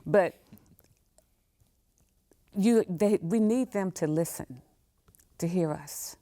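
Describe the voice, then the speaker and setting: A woman's voice: fairly low pitch, takes it slowly, warm tone, no echo, studio quality. An older woman giving conversational interview answers as a guest, emphatic and repeating phrases for weight. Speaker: museum professional guest.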